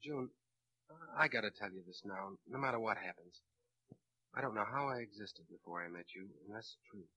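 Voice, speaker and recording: An actor playing an earnest, frightened young sailor accused of murder, pleading and halting in a crime drama. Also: male voice, on the low side, pushed and bright, dull audio. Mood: agitated. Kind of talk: dramatic film-noir crime acting.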